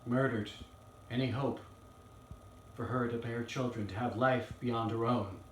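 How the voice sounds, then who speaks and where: A man playing an earnest young defense lawyer in a dramatic courtroom monologue, solemn and halting with weighty pauses. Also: medium pitch, fairly even intonation, natural voice. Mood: grave, hushed. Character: earnest young male Southern defense lawyer pleading to jury. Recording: roomy, distant mic, faint noise.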